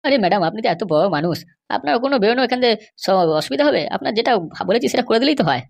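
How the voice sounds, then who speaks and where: A woman's voice: fairly high pitch, animated, very fast, gravelly. Woman as a sly, rattling cartoon lackey. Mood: mocking, wheedling. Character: sly male cartoon schemer and bribe-seeking jail constable. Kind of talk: amateur cartoon comedy dubbing.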